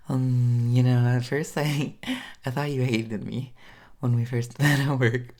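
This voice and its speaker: A woman's voice: rumbling low, steady tone, slow, darkish tone, full character voice. A woman acting a shy, smitten, tipsy date in an intimate roleplay audio, stammering and giggling nervously as she fumbles her words. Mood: giddy, smitten.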